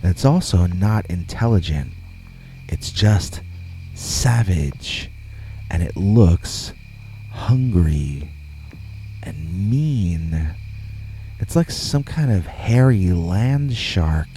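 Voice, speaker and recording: A man reading a scripted first-person scary story in a measured, deliberate way, with pauses between phrases. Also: low-pitched, expressive, mellow, slight background noise. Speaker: horror story narrator.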